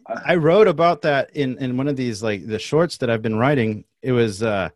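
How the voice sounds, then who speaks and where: A man's voice: mid-range voice, moderate pace, no room sound. Laughing, rambling man, dry sound. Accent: Mexican American. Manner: rambling storyteller, laughs often. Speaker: actor, podcast host. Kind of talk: casual chat.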